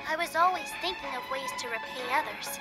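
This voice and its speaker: A woman voicing a kind young female dancer in a video game, speaking softly and reassuringly. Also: high voice, fairly quick, lightly acted. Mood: gentle. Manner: soft and reassuring. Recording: game audio with loud music.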